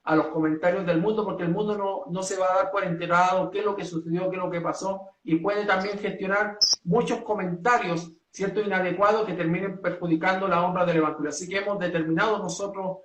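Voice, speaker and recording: A man from Chile, a pastor, setting out his church's principles in an earnest, measured way with many hesitations. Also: high voice, fairly even intonation, fairly bright tone, reverberant, recorded from a distance, rough recording. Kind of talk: doctrinal statement in a two-way talk.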